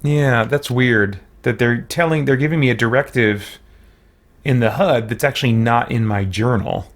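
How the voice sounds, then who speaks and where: A man's voice: medium pitch, normal speed. A man doing casual let's play commentary as a streamer, muttering his thoughts aloud to himself.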